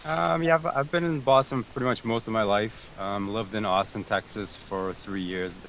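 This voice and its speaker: A man with a very low voice answers questions on a voice call, pausing and restarting often. He comes from Greece. A faint hiss sits under the line. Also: fairly even intonation, a bit bright, rough recording. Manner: hesitant, many fillers and restarts. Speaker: guest, tech support team member. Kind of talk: casual interview answers. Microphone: remote voice chat call.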